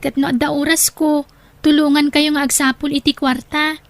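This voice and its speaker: An actress playing a gentle, dutiful young daughter in an Ilocano radio drama, earnest and respectful, with an Ilocano accent. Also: female voice, high voice, level tone, normal speed, dry recording. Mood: hopeful, tender.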